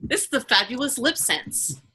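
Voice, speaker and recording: Bright-voiced woman co-hosting a podcast. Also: a little high, sing-song, moderate pace, breathy edge. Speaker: podcast co-host. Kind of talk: casual chat.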